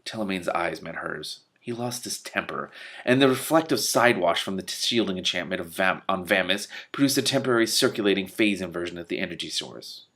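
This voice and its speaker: A man reading a novel aloud in an expressive way, breaking into exclamatory, spontaneous reactions of his own. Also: low-pitched, brisk. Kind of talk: animated audiobook-style reading with spontaneous commentary.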